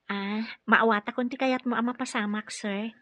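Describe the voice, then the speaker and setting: A woman's voice: fairly high pitch, steady tone, normal speed, sharp tone. An actress playing a polite young female nurse who speaks deferentially to her superiors in an Ilocano radio drama. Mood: deferential, surprised. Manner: respectful, addressing superiors as sir.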